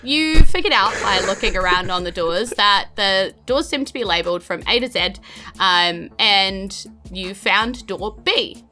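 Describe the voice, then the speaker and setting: A woman's voice: medium pitch, lively intonation, a little slow, sharp tone, faint music behind. A woman with a New Zealand accent narrating a tabletop role-playing podcast as game master, with playful, theatrical storytelling.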